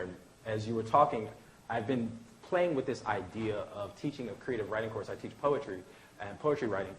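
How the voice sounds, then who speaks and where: A man with a lowish voice gives informal remarks at an event. He is discursive, often interrupting himself to rephrase. There is slight noise in the room. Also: low-quality recording. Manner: discursive, self-interrupting, often adds "right?". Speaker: professor, poetry teacher. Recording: faint room noise behind.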